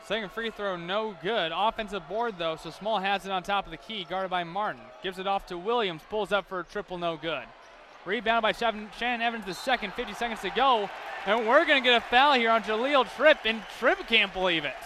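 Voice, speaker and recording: A high-voiced American man calls a basketball game live. He follows the action in running detail as the play-by-play announcer. Clear arena noise sits behind his voice. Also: brisk. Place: courtside in a large basketball arena.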